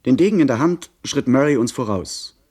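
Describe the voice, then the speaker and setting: An actor playing a young gentleman who recounts a pirate adventure as the narrator of a radio play, in measured literary storytelling. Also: mid-range voice, animated, close to an everyday voice. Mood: tense, narrating.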